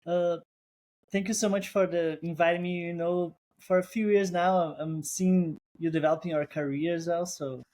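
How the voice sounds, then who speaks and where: A man's voice: sits high, steady tone, moderate pace, a little mellow. Brazilian-accented man, thoughtful guest. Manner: thoughtful, restarts phrases, non-native phrasing. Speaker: guest, co-founder. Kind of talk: casual interview.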